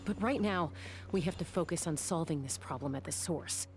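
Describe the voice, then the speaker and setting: Actress as a lowish-voiced composed adventurer woman. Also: moderate pace, over music, close to an everyday voice. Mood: composed, focused. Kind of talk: video game voice acting.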